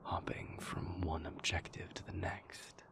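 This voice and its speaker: Low, gravelly, whispery brooding vampire man acting. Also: little pitch movement. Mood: weary, confessional. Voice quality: breathy, gravelly near-whisper. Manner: halting, measured, formal confessional phrasing. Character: brooding, remorseful male vampire boyfriend, former royal enforcer. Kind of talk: intimate audio roleplay monologue.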